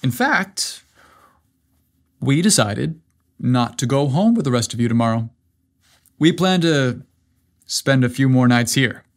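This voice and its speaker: A man's voice: medium pitch, animated, a little slow, pauses often. A man voicing a loyal, earnest young best friend with a casual manner in a narrated story. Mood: thrilled.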